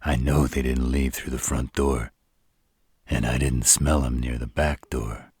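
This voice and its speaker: Exasperated, loyal male shapeshifter acted by a man, colloquial, unhurried, very low register, close dry audio drama sound. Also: level tone, a little mellow, husky, dry recording, full character voice. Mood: matter-of-fact. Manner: blunt, colloquial, sardonic. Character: gruff, wisecracking male shapeshifter bodyguard, loyal but exasperated.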